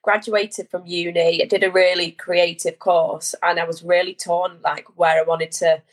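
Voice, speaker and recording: Lowish-voiced woman in her 20s, chill and friendly, says like a lot. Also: normal speed. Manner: friendly and relaxed, uses "like" often. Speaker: guest. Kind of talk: casual interview chat.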